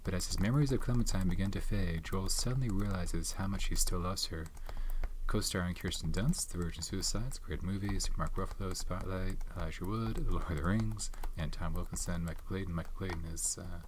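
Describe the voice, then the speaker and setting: Male ASMR host, very low voice, soft-spoken, meandering delivery, faint noise floor. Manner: rambling, pauses to recall names. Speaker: ASMR host reviewing movies.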